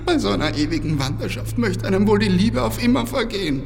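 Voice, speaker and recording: A man's voice: high voice, animated, fast, gravelly, over loud music. A man playing a cowardly, chatty young comic sidekick in a radio opera, plaintive and comic. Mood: whining. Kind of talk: theatrical radio opera comedy. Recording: long hall reverb.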